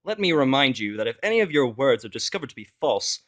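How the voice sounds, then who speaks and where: A man's voice: mid-range voice, very animated, quick pace, close to an everyday voice. A man voicing a wealthy male pony businessman who speaks in a demanding, formal, threatening way in an audio story. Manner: confrontational, formal, menacing.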